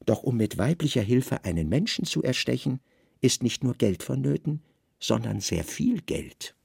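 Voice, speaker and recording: A man playing a grave, calculating Roman secret service chief who speaks calmly, deliberately and deadpan in a literary radio drama. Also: medium pitch, gravelly, husky, no echo, clean studio sound, exaggerated voice. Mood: calm, reasoning.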